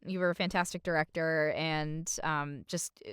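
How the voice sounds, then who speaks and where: A woman guest in a casual podcast conversation, appreciative and measured as she talks. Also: low-pitched, fairly even intonation, a bit bright, clear, resonant tone, no room sound, clean studio sound. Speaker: guest, voice actor.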